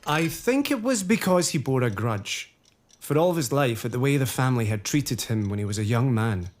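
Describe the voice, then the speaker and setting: An actor playing a gentle, indulgent gentleman husband in a period audio drama. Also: mid-range voice, animated, moderate pace, lightly acted. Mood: storytelling. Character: easygoing gentleman husband of the lady.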